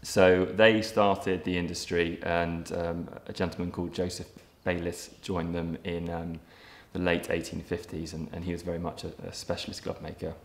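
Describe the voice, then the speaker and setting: A man in his thirties, a property developer giving a documentary interview, speaking in a measured way with frequent ums and restarts. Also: low-pitched, level tone. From England. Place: empty brick factory room, indoors, large. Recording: echoey empty room, faint ambience.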